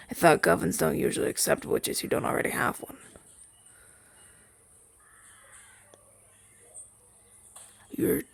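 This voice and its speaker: Male actor for soft, hesitant demon familiar, ASMR roleplay. Wistful and gentle, very gravelly and husky. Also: a little high, no echo, heavily acted. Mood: curious. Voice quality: breathy, husky half-whisper. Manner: hesitant, soft-spoken, halting and conversational. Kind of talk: ASMR roleplay audio drama, softly spoken to listener.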